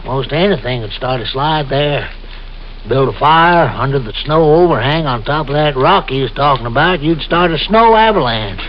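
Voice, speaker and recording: A man's voice: on the high side, expressive, normal speed, close to an everyday voice. An actor playing a folksy, cautious backwoods man who is deferential and rambles hesitantly, in old-time radio drama style. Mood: warning. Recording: dull, hissy old radio recording.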